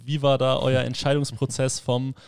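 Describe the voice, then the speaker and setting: A man's voice: medium pitch, normal speed, gravelly, clear, resonant tone, dry recording, clean studio sound. A man of twenty-one hosting a podcast, asking questions in an easygoing way with lots of fillers. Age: 20s. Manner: relaxed, friendly questioning with many fillers. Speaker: podcast host, leader at a mission school. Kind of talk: casual podcast conversation.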